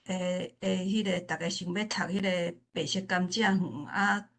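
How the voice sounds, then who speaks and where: 臺灣腔查某人，講話頓頓、重複. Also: female voice, on the low side, fairly even intonation. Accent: Taiwanese. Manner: halting, repeats phrases. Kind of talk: informal spoken remarks at a literature forum.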